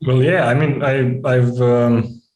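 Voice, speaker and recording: A man's voice: medium pitch, steady tone, slow, a little mellow. A man from Serbia in his forties, a film director talking loosely on a festival panel, repeating words as he goes. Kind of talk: unscripted panel answer. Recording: echoey room.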